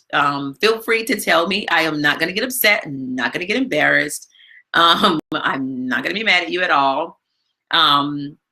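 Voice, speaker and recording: Woman, live-stream host, bright forceful tone, low pitch, chatty with fillers. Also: vocal fry. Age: adult with a daughter. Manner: chatty, many fillers, big loud voice. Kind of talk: casual live-stream chat. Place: home, not her usual studio.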